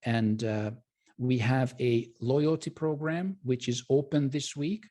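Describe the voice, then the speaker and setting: A man with a mellow, slightly husky voice presents a live webinar. He speaks informally and courteously, often pausing and correcting himself as he pitches to viewers. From Hungary. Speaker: presenter and trading educator.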